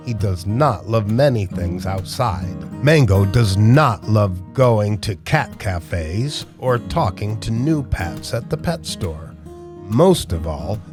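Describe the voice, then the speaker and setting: A man reading a children's picture book aloud in a measured, expressive storytelling style. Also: lowish voice. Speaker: storybook narrator. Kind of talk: children's read-aloud narration. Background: music with plucked guitar.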